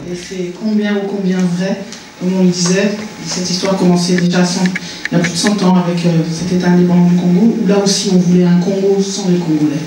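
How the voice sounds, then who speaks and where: High-voiced man hosting in an echoey hall. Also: a little mellow, a little hiss or hum. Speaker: event host, moderator. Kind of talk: introducing speakers. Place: conference hall. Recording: echoey hall, distant mic.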